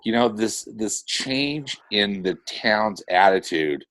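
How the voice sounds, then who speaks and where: A man with a slightly low voice chats as co-host of a film podcast. He is earnest and halting, often rewording mid-thought. The recording is dry and close. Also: animated, a bit bright, no room sound. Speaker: podcast co-host reviewing animated films. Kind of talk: casual film discussion.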